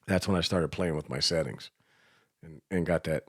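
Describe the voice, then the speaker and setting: A man's voice: very deep voice, normal speed, slightly rough, dry recording, clean studio sound. A man chatting casually as a radio show host, with an informal, teasing way of talking. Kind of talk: casual chat.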